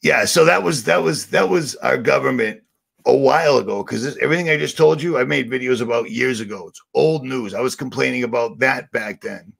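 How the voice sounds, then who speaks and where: A man with a raspy voice, ranting sarcastically and rambling on a livestream as the channel host. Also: medium pitch, a little fast, fairly bright tone. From Boston area. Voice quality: raspy, gravelly.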